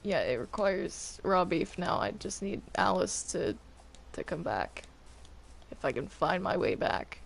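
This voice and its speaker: Slow-talking, hesitant woman gaming. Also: on the low side, big pitch swings, gravelly, a little hiss or hum. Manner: hesitant, stammering, apologetic. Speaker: gamer playing a multiplayer game. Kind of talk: casual in-game chat.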